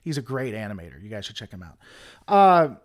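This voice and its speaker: A man's voice: medium pitch, very animated, fairly quick. A man hosting a casual livestream, rambling through an opinionated critique and answering chat. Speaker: livestream host.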